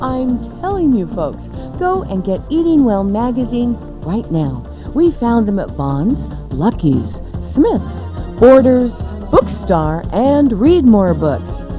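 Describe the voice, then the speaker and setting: Female ad announcer, fairly high pitch, very animated, upbeat sales read over music. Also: takes it slowly, few pauses. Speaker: radio advert announcer.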